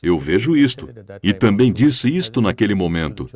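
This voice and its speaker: A man with a Brazilian Portuguese accent voices a guest's answers in a dubbed interview. His voice sits low, yet his intonation moves a lot. His delivery is measured and reflective. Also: big pitch swings, darkish tone. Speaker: interviewee voice-over.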